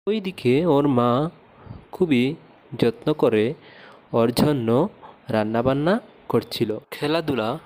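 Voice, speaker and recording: A man's voice: mid-range voice, takes it slowly, pauses often, a little mellow. A man narrating a scripted moral tale for a cartoon as its storyteller.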